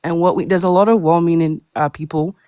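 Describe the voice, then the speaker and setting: A woman's voice: lowish voice, steady tone, brisk, dark tone, smooth, no room sound. Defensive woman on a dry call line. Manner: defensive and insistent. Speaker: guest in a live discussion. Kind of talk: livestream debate. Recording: dry, dull lo-fi call audio.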